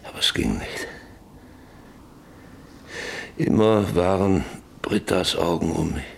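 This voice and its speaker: Gravelly, troubled middle-aged farmer. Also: male voice, sits low, sing-song, normal speed, full character voice. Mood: haunted, confessional. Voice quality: gravelly, husky. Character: troubled, defensive farmer and father, haunted by guilt. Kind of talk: German radio play acting.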